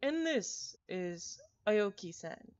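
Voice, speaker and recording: A woman voicing a polite, reassuring young male teaching assistant in a visual novel, with measured, formal phrasing. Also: medium pitch, big pitch swings, a little mellow, muffled recording.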